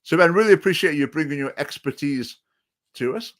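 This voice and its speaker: A man's voice: fairly high pitch, expressive, fairly quick. A man hosting a talk show, speaking hesitantly with many fillers and restarts. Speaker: host. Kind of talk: political talk show chat.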